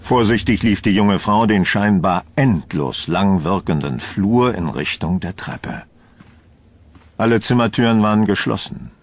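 A man with a gravelly, husky voice narrating a scripted horror story slowly. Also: fairly low pitch, animated.

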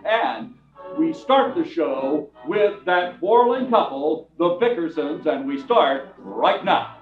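A man's voice: fairly high pitch, big pitch swings, takes it slowly. Distant, echoey male stage host. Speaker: show host. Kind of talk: live stage introduction to an audience. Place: theatre stage, before an audience. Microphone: distant camera. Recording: tinny, echoey theatre from far off, music behind.

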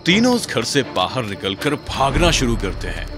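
A male storyteller narrates a horror cartoon at a middle pitch, his voice rising and falling a lot. Loud music runs under the whole narration. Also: wildly swooping pitch, normal speed.

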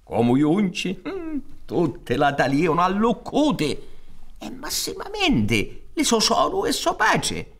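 A man in his sixties with a Sicilian accent narrating a fairy tale theatrically, in an expressive, conspiratorial way. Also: sits high, slow, gravelly. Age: 60s. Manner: expressive, chuckling, conspiratorial asides. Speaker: storyteller. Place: theatre stage. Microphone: studio microphone on stand.

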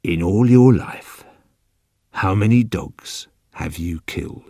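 Man playing a slow, low, cunning old man. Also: gravelly, no room sound, studio quality. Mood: gentle, coaxing. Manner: slow, deliberate speech. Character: calm, cunning, commanding old man, quietly menacing.

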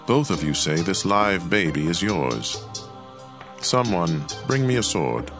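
Young ancient king for scripture drama: very low voice, solemn, measured, music beneath. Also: lively intonation, heavily acted. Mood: commanding.

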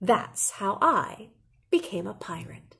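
A woman in her thirties reading a children's picture book aloud in an expressive, deliberate way, like a teacher. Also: mid-range voice, creaky, slightly rough. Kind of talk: animated children's storybook read-aloud. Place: indoor classroom.

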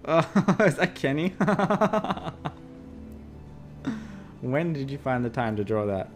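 A man's voice: mid-range voice, very animated, takes it slowly, soft background music. A young adult man giving playful, sarcastic, exclamatory casual commentary while playing a video game. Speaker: let's play host. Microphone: desk USB microphone.

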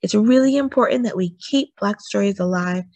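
A woman reading a children's book aloud in an expressive storytelling style, with deliberate phrasing and emphasis. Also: medium pitch, no echo.

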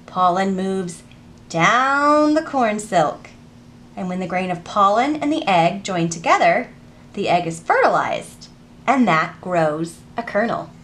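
A woman in her thirties hosting a children's storytime, reading aloud and teaching in an animated, deliberate, sing-song way. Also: mid-range voice, a little hiss or hum. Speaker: children's librarian and storytime host. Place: public library children's section.

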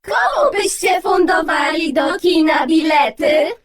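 A woman's voice: very high voice, slow pace. A woman reciting rhyming verse for a children's radio show.

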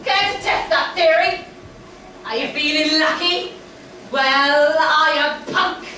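A woman playing a scheming, scornful pantomime witch with melodramatic, drawn-out declaiming on stage. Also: high voice, sharp tone, heavily acted. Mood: menacing, scornful. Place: stage of a small theatre or hall. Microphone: far camera in the audience. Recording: echoey theatre stage from far off, noisy.

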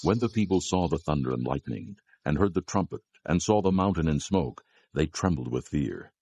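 A man narrating scripture from a script, with a gravelly voice and a measured, grave delivery. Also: very deep voice, lively intonation, darkish tone, no echo.

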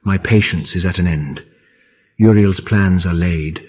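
A wrathful, imperious old god. The voice is very deep and husky, with pompous, thunderous delivery. It is audiobook drama. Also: male voice, unhurried, a little mellow. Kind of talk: audiobook character acting.

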